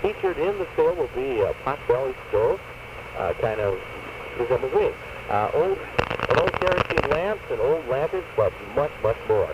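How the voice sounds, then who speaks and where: Male local radio announcer, lively swooping delivery, dull and heavily noisy vintage AM taping. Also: mid-range voice, normal speed, recorded from a distance.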